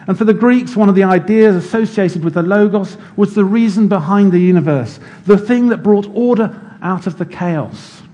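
A man preaching a sermon in a church, explaining scripture in a measured teaching manner. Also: high voice, a little mellow.

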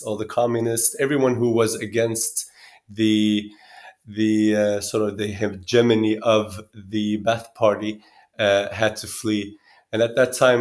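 A man in his thirties from Sweden speaking reflectively as an interview guest, often pausing and restarting as he searches for words. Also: on the low side. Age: 30s.

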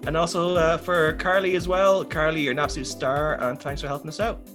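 A man's voice: fairly high pitch, steady tone, a little fast, fairly bright tone. Hesitant male podcast host, faint music. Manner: hesitant, filler-heavy questioning. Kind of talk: casual podcast interview.

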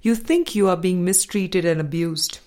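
A woman reading a spiritual self-help audiobook in a measured, deliberate way. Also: fairly low pitch, mellow. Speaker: audiobook reader.

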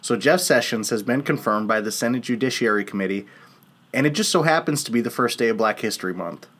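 A man's voice: mid-range voice, fast talker, fairly bright tone. A congested man co-hosting a casual political podcast, chatty and upbeat. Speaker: podcast co-host.

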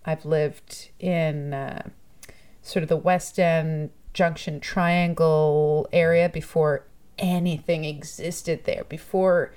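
A woman from Toronto talks through her ideas in a relaxed interview. Her voice sits fairly low, and she muses aloud in a chatty, thoughtful way, with easy laughs breaking in now and then. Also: slow, smooth. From Toronto, Canada. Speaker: musician and guest. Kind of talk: casual podcast interview.

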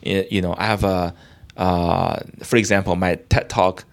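A young man from China, a guest in an interview, talking in a halting way with many restarts and fillers. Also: deep voice, level tone, gravelly. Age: young adult. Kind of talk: casual interview talk.

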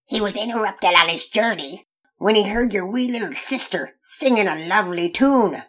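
A woman voicing gruff male pirates in a storybook reading, growling pirate talk with loud exclamations. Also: medium pitch, normal speed, voice pushed up, natural voice. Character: gruff male pirates, Redbeard and Cockeye Jay.

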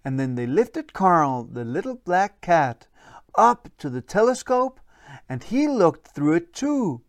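A storyteller narrating a children's bedtime story in an expressive, deliberate way. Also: medium pitch, no echo.

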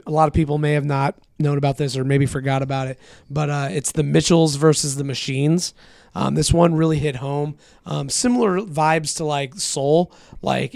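A hoarse man co-hosting a podcast, chatting casually and eagerly with lots of fillers. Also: on the high side, darkish tone, dry recording, clean studio sound. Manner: enthusiastic, many fillers. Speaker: podcast co-host. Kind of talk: casual chat.

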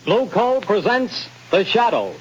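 An actor playing a dramatic announcer of an old radio mystery show in a declamatory 1930s style. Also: male voice, high voice, sing-song, a little slow, breathy edge, soft background music, heavily acted. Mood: grave, dramatic. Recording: dull, scratchy old radio recording.

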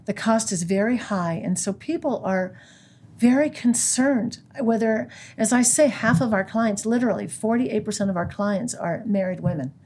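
A woman's voice: medium pitch, normal speed, darkish tone, breathy edge, poor audio. An American woman in her sixties, a guest who leads a pro-life group, talking earnestly in an interview and quoting scripture. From United States. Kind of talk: conversational interview.

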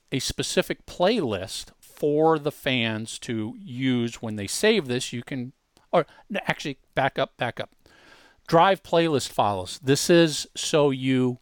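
Male tutorial host, mid-pitched and dry-sounding, informal, hammers key points directly at the listener. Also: clear, resonant tone, no room sound. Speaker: co-host demonstrating an online tool. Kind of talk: casual software walkthrough.

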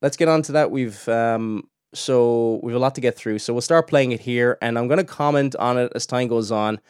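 Brief: young male host, mid pitch, dry close sound, chatty commentary. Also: moderate pace, darkish tone, clear, resonant tone, dry recording. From Cork, Ireland. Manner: chatty, restarts often, laughs in asides. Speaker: podcast host. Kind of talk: casual commentary.

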